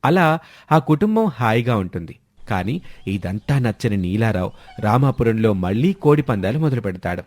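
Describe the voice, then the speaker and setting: A man's voice: lowish voice, sing-song, normal speed, darkish tone. A man reading a scripted moral story as its narrator. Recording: music bed under the voice. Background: background music.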